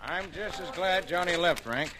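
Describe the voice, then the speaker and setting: An actor playing a smug, taunting, domineering American lumber mill boss in a 1940s radio drama. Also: male voice, high voice, moderate pace, a loud crowd, close to an everyday voice.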